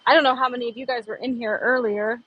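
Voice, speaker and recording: A woman's voice: fairly high pitch, fairly even intonation, fast, slightly rough, lo-fi sound. A woman live-streaming from a phone on a gimbal, chatting casually with her viewers with lots of fillers and giggles. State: a little thirsty. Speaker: livestreamer.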